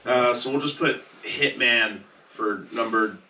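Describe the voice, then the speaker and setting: A man's voice: mid-range voice, slow, pushed and bright, a little hiss or hum. A man on a podcast panel, bantering casually with slangy, profane talk. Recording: roomy echo, distant mic.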